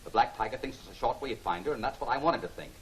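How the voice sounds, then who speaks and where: Actor playing a brisk, confident 1940s serial hero. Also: male voice, mid-range voice, lively intonation, lightly acted. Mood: assured. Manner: assured, explanatory, quietly commanding. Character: confident adult male crime-fighting scientist boss. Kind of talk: 1940s film serial acting. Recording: noisy old 1940s film soundtrack.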